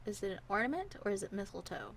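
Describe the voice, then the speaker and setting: A woman's voice: mid-range voice, very animated, heavy background noise. A woman co-hosting a podcast, playing a word game in casual chat with frequent giggles. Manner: giggly, quick soft answers. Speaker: podcast co-host.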